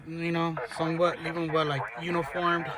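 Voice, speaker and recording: A man's voice: a little high, monotone, moderate pace, over a noisy crowd. A man narrating a casual hands-on tutorial, informal and thinking aloud as he works. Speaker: how-to video host.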